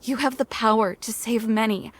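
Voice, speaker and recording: Woman playing an earnest, high-voiced werewolf heroine. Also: fairly even intonation, normal speed, close to an everyday voice. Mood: urgent, pleading. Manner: earnest, pleading, resolute. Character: determined, hopeful young female werewolf seeking freedom. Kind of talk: dramatic game cutscene acting.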